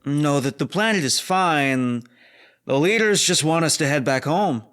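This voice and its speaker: Clear-voiced, hesitant space officer. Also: male voice, a little high, expressive, a bit bright. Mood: guarded. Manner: halting, casual. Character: hesitant, easygoing male space security officer. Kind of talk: audio drama acting.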